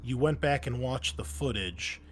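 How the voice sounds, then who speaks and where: A man's voice: medium pitch, a little slow, breathy edge. A man running a tabletop game as game master, improvising narration in a casual, conversational way.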